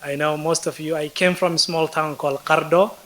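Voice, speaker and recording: Male guest speaker, thirties, Somali accent, fairly high voice, telling his own story to an audience. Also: fairly even intonation, a little fast, noisy background. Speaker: guest speaker, PhD student. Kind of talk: personal speech to an audience.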